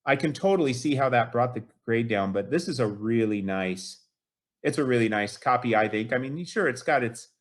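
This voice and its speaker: A man in his late fifties with a mid-pitched, ordinary voice talks eagerly as a guest. He rambles, piles up fillers and restarts his sentences as he gets excited. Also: normal speed. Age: 50s. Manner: enthusiastic, rambling, many fillers like "you know". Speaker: guest collector. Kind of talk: casual interview chat.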